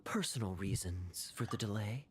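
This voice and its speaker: An actor voicing a suave, playful young knight officer in an anime-style video game, teasing and polished, with music behind him. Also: male voice, on the low side, expressive, normal speed, close to an everyday voice. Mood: measured, confiding.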